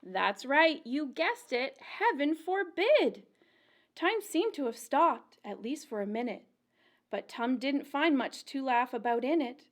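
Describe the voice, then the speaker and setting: A woman with a high voice reads aloud for a children's storytime. Her pace is very slow and deliberate, with a sing-song, expressive storyteller delivery shaped for small listeners following a rhyming picture book. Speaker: children's librarian and storytime reader.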